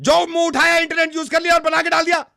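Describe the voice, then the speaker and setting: A man's voice: very high-pitched, little pitch movement, quick pace, vocal fry, bright, forceful tone, no room sound, studio quality, exaggerated voice. A man playing an exasperated older customer on a comic prank call, complaining insistently and repeating himself. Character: irate older customer complaining about cheap internet. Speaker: radio prank caller.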